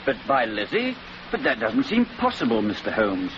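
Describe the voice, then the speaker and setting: An actor in a 1940s radio drama playing a flustered, incredulous, stuffy English gentleman. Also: mid-range voice, wildly swooping pitch, normal speed, a little hiss or hum. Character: flustered, incredulous upper-class family gentleman. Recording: muffled radio recording, loud crowd-like murmur.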